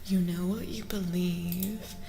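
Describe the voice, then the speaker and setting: A woman speaking in soft-spoken ASMR style as a streamer reading tarot, gently and deliberately, often slipping into a breathy whisper and repeating phrases. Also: lowish voice, fairly even intonation. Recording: roomy reverberant sound, faint noise.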